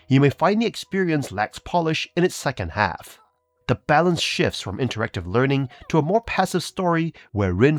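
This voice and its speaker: A man hosting a review video, reading a scripted narration in a polished, measured presenter's delivery. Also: mid-range voice, dry recording.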